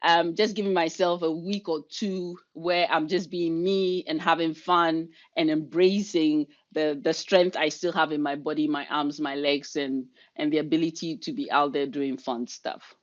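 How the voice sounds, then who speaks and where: Brief: middle-aged Ghanaian woman, lower voice, brisk and lively workshop leader on a video call. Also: lo-fi sound. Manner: fast, energetic, chatty delivery. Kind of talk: online workshop presentation.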